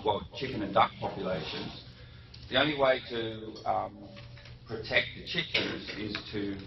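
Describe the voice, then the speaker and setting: Male lecturer, fairly low voice, thinking aloud with ums, muffled distant hall recording. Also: background noise. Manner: unhurried, frequent ums and self-corrections. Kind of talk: academic lecture.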